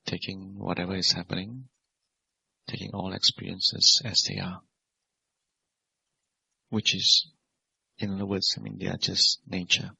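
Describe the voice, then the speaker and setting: A man leads a guided meditation in a low, very husky voice with a gravelly creak. His phrasing is measured and simple, with gentle questions to the meditator. The recording is a little dull and lo-fi. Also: flat delivery. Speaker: Buddhist monk and meditation teacher.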